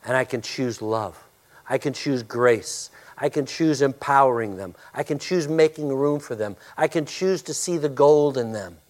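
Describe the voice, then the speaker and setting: A male pastor preaching a sermon and praying, with a loud, forceful voice, emphatic when preaching and hushed in prayer. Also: medium pitch, moderate pace.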